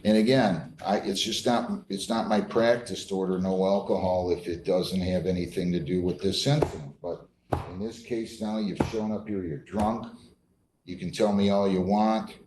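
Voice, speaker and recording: A man's voice: low voice, fairly even intonation, normal speed. An American man speaking as a judge from the bench, firm and plain-spoken, repeating points for emphasis in an informal courtroom style. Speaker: judge presiding over a court hearing. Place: indoor courtroom. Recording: roomy courtroom echo with faint noise.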